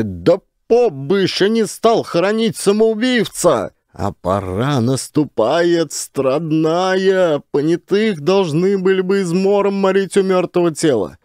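A man's voice: a little high, darkish tone, no room sound, clean studio sound, voice pushed up. A man voicing an indignant, blustering village official with a rustic, scolding manner in an audio story. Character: blustering, indignant male village elder in authority.

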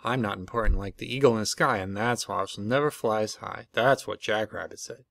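A man's voice: lowish voice, brisk, vocal fry, fairly bright tone, no room sound. A man voicing a downcast, plaintive female roadrunner bird from a storybook. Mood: dejected.

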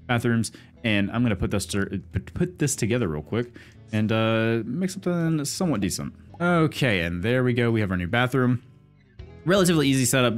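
Fast-talking, congested male let's-play host, animated and rambling, soft music underneath. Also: on the low side. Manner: chatty, thinking aloud with many fillers. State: congested, stuffy from a cold. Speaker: gaming video host. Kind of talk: casual let's-play commentary.

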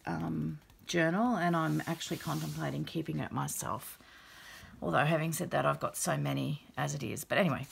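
A middle-aged woman with a croaky, gravelly voice after the flu, casually showing a handmade journal at her craft table, relaxed and chatty with many fillers. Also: deep voice, a little hiss or hum. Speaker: craft channel host. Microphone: overhead camera, hands only.

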